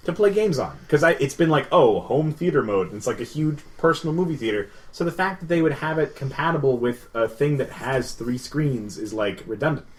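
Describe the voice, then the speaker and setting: A man on a podcast, chatting informally in an animated, emphatic way. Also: medium pitch, brisk, hardly any pauses. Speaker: podcast co-host. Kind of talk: casual chat. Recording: busy group chatter behind.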